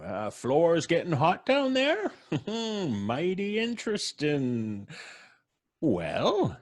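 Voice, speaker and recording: A folksy old country codger in an old-time radio play, gloating and merciless. His husky voice drawls slowly through rustic dialect and swings widely in pitch. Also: huge pitch swings, heavily acted. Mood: sly bargaining. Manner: drawling, chuckling rural dialect.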